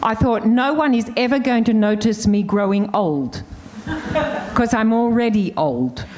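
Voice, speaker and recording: An older Australian woman talks loudly and candidly, laughing as she tells her stories. Her voice sits a little high, and faint background noise runs under it. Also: a little slow. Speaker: panelist. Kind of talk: casual storytelling on a panel.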